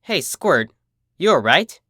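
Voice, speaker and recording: A brash cartoon pegasus mare voiced by a story narrator looks out for a younger one. The delivery is cocky, slangy and insistent, with lively pitch and a dry, close sound. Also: slow, breathy edge, no echo, full character voice. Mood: brash, concerned.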